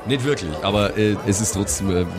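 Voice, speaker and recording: Very deep-voiced man at play. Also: fast, over music. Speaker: tabletop player. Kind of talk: casual improvised role-play.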